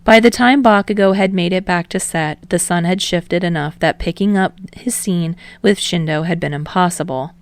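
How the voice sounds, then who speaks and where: Woman reading an audiobook story, creaky voice, really dry close recording. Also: fairly low pitch, moderate pace, hardly any pauses, no echo. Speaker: audiobook narrator of fan fiction. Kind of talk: scripted narration.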